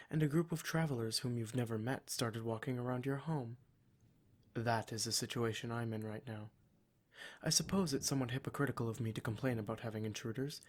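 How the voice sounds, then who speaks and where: A man's voice: medium pitch, lightly acted. A man playing a cruel, smug demon in a scripted ASMR roleplay, speaking in a formal, mock-polite and menacing way. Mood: haughty, explanatory. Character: cruel, smug male demon, taunting captor of adventurers.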